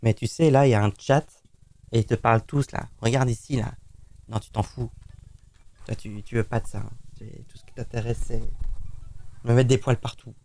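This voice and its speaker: A man's voice: mid-range voice, fairly even intonation, normal speed, long pauses, a little mellow. A man streaming live, chatting casually and rambling teasingly to his cat.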